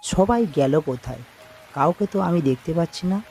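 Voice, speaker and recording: Male narrator for animated horror tale, first-person scripted read, fairly high voice, music bed. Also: moderate pace, vocal fry. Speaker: story narrator. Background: background music.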